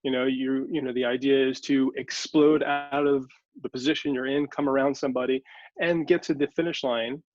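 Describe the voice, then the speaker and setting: A man explaining sports science as an interview guest, walking patiently through examples. His voice sits at an ordinary middle pitch. The sound is dry and a little dull. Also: steady tone, normal speed, a little mellow, dry recording. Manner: teacherly, walks through examples step by step. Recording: dry, slightly dull audio.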